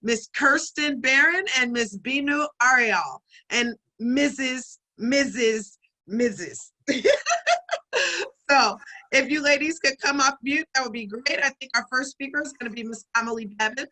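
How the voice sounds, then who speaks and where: A woman's voice: sits high, a little slow, bright, forceful tone, rough recording. A woman hosting an online event, enthusiastic and chatty as she introduces the speakers over a video call. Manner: enthusiastic, chatty, laughs easily. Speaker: event host.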